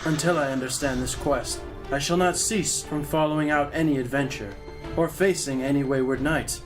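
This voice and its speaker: A man's voice: fairly high pitch, moderate pace, lightly acted. Man playing an earnest, impulsive young knight. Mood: resolute. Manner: earnest, questioning. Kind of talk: theatrical drama dialogue. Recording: music under the voice.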